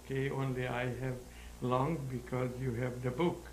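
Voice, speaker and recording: A man in his nineties with a Hungarian accent, giving halting, deliberate interview answers about his own life in non-native English. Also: medium pitch, level tone, a little mellow. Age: 90s. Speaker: interview guest, sculptor. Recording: roomy echo, faint background noise.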